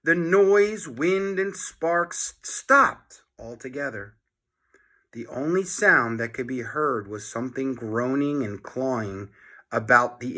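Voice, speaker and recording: A man reading a story aloud to children in an expressive way, speaking directly to his young listeners. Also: mid-range voice. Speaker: children's book reader, teacher.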